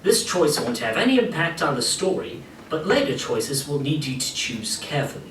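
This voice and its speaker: A man playing the guiding narrator of an adventure game, reading scripted lines in an expressive, theatrical way. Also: fairly high pitch, normal speed, a little hiss or hum. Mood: guiding, storytelling. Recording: echoey hall playback, distant, faint music.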